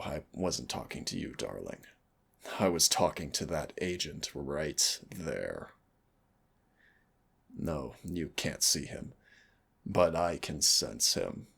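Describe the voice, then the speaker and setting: A man voicing a gentle, affectionate demon prince in an intimate roleplay audio, husky and gravelly, speaking tenderly and without hurry. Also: rumbling low, very animated, full character voice. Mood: protective, menacing. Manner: tender, unhurried, wistful storytelling. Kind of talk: intimate roleplay audio acting, soft and soothing.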